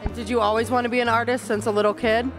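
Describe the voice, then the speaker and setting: The woman reporter doing a local TV segment at an art fair, asking questions in a high voice over music. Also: level tone, very fast. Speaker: TV reporter, interviewer. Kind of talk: interview questions. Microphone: handheld interview mic.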